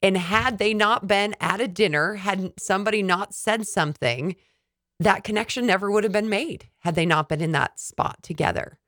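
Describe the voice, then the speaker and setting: A woman's voice: mid-range voice, moderate pace, a bit bright, dry recording, studio quality. A woman hosting a podcast, speaking enthusiastically and steering the conversation with questions. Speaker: podcast host. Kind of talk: podcast interview.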